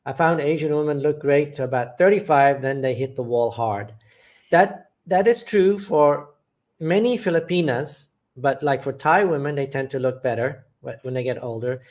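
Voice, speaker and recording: A man's voice: medium pitch, moderate pace, a little mellow. Blunt, matter-of-fact man from England. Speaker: channel host. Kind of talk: live chat reading viewer comments.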